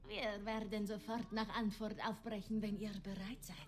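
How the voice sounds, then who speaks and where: A woman voicing a friendly, sociable female sailor in a dubbed video game, speaking in a warm, hearty manner. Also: medium pitch, little pitch movement, normal speed, close to an everyday voice. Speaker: game character.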